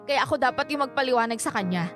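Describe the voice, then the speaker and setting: A woman plays a headstrong young Filipina in a radio drama, defending the older man she loves. Her voice is high and highly animated, pleading and stubborn, with music clearly underneath. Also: very fast, lightly acted. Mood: anxious pleading. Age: young adult.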